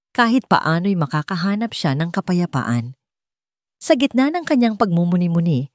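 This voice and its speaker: A woman's voice: on the low side, darkish tone, no room sound, clean studio sound. A woman reading scripted narration for a dramatic audio story as its narrator.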